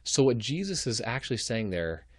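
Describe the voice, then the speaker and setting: A man's voice: mid-range voice, big pitch swings, normal speed, breathy edge, dry recording. Earnest man lecturing over webcam. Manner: earnest, building emphasis with repeated phrases. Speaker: theology lecturer. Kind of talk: recorded video lecture. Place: home office with bookshelves, indoors. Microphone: webcam at desk.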